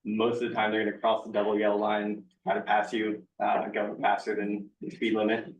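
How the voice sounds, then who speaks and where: A man's voice: fairly low pitch, completely flat delivery, brisk. A man giving an earnest public comment at a community meeting, with frequent ums and uhs. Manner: earnest, with many fillers. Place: meeting room. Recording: echoey room, distant mic, dull sound.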